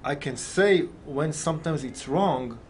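A man's voice: fairly high pitch, expressive, measured pace, a little mellow, a little hiss or hum. A man in his thirties from Israel with an Israeli accent, answering in conversational, non-native English as an interview guest. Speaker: film director, interview guest. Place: TV studio.

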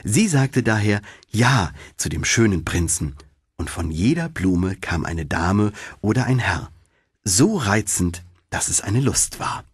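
A man narrating a fairy tale in an expressive storytelling manner, as for an audiobook. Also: fairly low pitch, slow pace, husky, no echo, clean studio sound.

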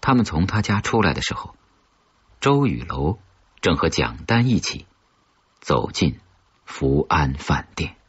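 A man's voice: extremely low pitch, very animated, measured pace, long pauses, highs cut off. A man reading a suspense novel aloud as a scripted audiobook, with dramatic storytelling delivery. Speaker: audiobook narrator.

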